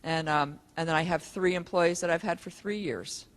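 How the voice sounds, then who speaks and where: A man from the conference audience telling his own experience, rambling and halting, often restarting. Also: fairly high pitch, fairly bright tone. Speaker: audience member. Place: conference room.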